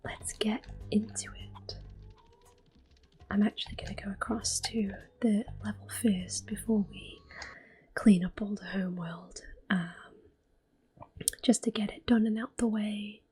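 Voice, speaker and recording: A woman giving soft-spoken ASMR gameplay commentary in a breathy half-whisper, gently narrating each move. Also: mid-range voice, fairly even intonation, a little slow. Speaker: game streamer, host.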